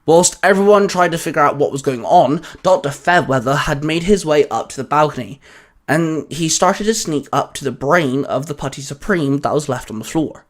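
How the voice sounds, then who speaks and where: A man's voice: a little high, moderate pace. A man reading scripted fan fiction as a story narrator.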